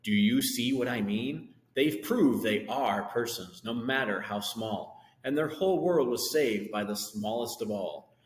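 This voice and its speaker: A man's voice: medium pitch, measured pace, lightly acted. A man voicing a big, kindly, earnest and encouraging male elephant in a storybook read-aloud. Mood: pleased. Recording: echoey room with long reverb.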